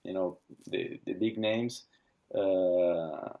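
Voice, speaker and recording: A man, speaking haltingly as he searches for words while being interviewed about founding his watch brand. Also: sits low, steady tone, vocal fry, lo-fi sound. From Argentina. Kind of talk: casual interview.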